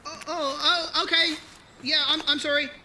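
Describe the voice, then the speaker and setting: A woman's voice: high-pitched, little pitch movement, normal speed, sharp tone, a little hiss or hum, low-quality recording. A woman playing a friendly, shy young settler in an audio drama, eager and easily flustered.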